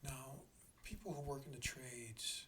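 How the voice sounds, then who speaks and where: A slightly hoarse man hosting a reflective spiritual talk, speaking in a halting, deliberate way and often checking in with the listener. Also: mid-range voice, fairly bright tone, a little hiss or hum. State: slightly hoarse after a throat infection. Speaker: host of a spiritual learning channel.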